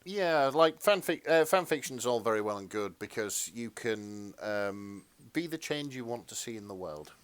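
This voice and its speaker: A man co-hosting a podcast in casual chat, with a hesitant, halting delivery. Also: mid-range voice, lively intonation, dry recording. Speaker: podcast co-host.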